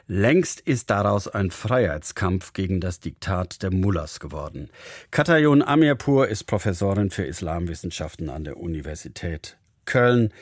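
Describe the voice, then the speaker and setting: A man hosting a radio interview, asking measured, formal questions. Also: low-pitched, no echo, studio quality. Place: radio studio.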